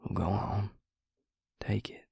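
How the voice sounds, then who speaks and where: A man playing a weary, grieving vampire lover, tender and gruff, in an intimate audio roleplay with a husky, gravelly murmur. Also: little pitch movement, darkish tone, dry recording, whispered, clean studio sound, full character voice. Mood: fond exasperation. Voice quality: husky, breathy, gravelly low voice. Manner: slow, halting, murmured, with sighs.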